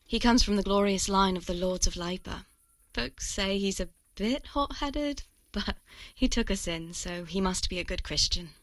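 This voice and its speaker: Gentle young medieval village woman played by an actress. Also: mid-range voice, normal speed, no echo, close to an everyday voice. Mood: chatty, informative. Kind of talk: video game dialogue acting.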